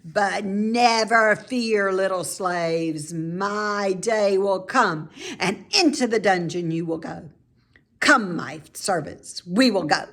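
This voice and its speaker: A woman's voice: mid-range voice, slow, fairly bright tone. A woman voicing a haughty, wicked storybook queen with an imperious, theatrical manner. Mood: menacing, haughty.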